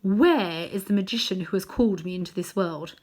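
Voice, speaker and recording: A woman reading aloud, voicing a cold, imperious queen witch from a storybook in a loud, commanding tone. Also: mid-range voice, lightly acted. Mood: scornful commanding. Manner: commanding, scornful, slow and forceful.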